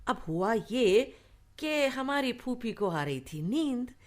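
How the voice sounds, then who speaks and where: A young woman in a radio drama, her voice fairly high. She spins childhood memories fondly, like a storyteller among friends. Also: big pitch swings, slow pace. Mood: amused, reminiscing. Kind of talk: radio play acting, storytelling.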